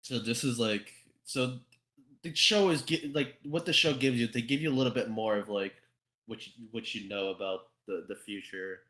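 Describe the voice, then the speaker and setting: A man explaining things in casual conversation, halting and often repeating his phrases as he goes. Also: mid-range voice, a bit bright. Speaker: podcast guest.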